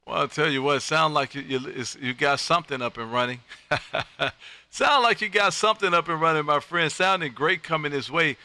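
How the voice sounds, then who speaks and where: Bright, forceful American radio host. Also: male voice, a little high, normal speed, a little hiss or hum. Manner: folksy, effusive, peppers talk with friendly address. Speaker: amateur radio operator and livestream host. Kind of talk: casual ham radio chat.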